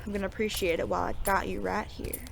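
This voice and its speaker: A woman's voice: medium pitch, level tone, quick pace, a little hiss or hum. A woman playing a weary, honorable, teasing ex-sheriff gunslinger in a western audio drama, with a drawl of frontier slang. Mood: fond, wry. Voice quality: gravelly creak.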